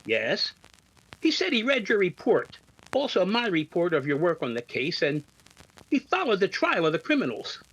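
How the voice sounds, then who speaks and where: A stern commanding officer acted by a man with strongly varied pitch. He is formal and authoritative, measuring out his words like a superior in a 1950s radio police drama. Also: wildly swooping pitch, moderate pace, fairly bright tone, heavily acted, poor audio. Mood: formal, measured.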